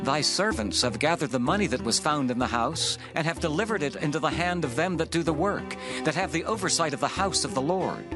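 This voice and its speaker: Male actor as biblical scribe: dutiful, formal reporting, raised pitch, set over music. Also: expressive, a little fast, hardly any pauses, lightly acted. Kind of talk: dramatized scripture acting. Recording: music bed under the voice.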